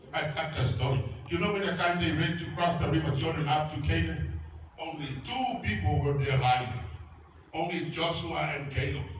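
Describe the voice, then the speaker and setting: A man's voice: fairly high pitch, a bit bright, slight background noise. A pastor from Samoa preaching a sermon in English, his second language, with a Samoan accent. He speaks in simple, emphatic, repeated phrases. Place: church. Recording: echoey church hall, voice from far off.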